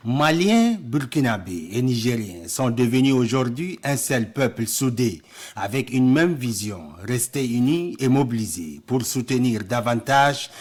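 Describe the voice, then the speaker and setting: Male news reporter, formal scripted voice-over, mid-pitched, measured and solemn. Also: animated, darkish tone.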